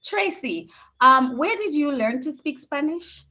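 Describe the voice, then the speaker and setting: Higher-voiced woman interviewer. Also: animated, measured pace, bright, forceful tone. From Jamaica. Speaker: interviewer at a school. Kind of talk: conversational interview with prepared questions. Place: recorded video call.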